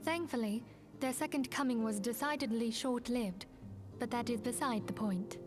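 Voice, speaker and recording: Composed, higher-voiced female leader played by an actress. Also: over music, lightly acted. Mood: grave, composed. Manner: measured, formal briefing. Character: composed, earnest woman leading a band of heroes. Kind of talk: scripted fantasy game dialogue.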